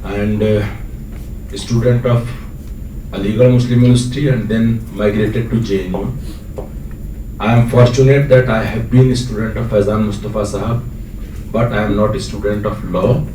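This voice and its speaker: A man's voice: on the low side, fairly even intonation, normal speed, pauses often, mellow. A man from Bihar with an Indian accent, a non-native English speaker, asking a long, earnest question from the floor with many hesitations. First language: local dialect of Bihar. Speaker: audience member asking a question. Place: lecture hall. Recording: echoey hall, distant mic, faint noise.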